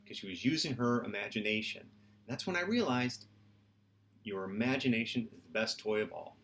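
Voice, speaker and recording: A man's voice: lowish voice, fairly quick, fairly bright tone, a little hiss or hum. A middle-aged man, a children's book author, talking and reading in a storytime style with simple explanations and questions to his listeners.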